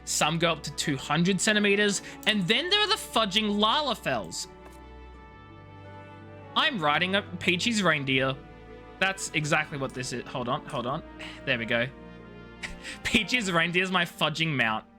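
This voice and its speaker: A man's voice: a little high, very animated, unhurried, long pauses, sharp tone, soft background music. A man chatting casually to viewers as a video game streamer, playful and teasing, rambling from one thought to the next.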